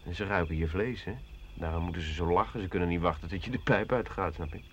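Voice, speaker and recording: An actor playing a rough, talkative soldier turned drifter, world-weary and colloquial, in a Dutch radio play. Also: male voice, sits low, fast talker, natural voice. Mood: haunted. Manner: colloquial, hesitant, chatty storytelling. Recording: dull, noisy old radio recording.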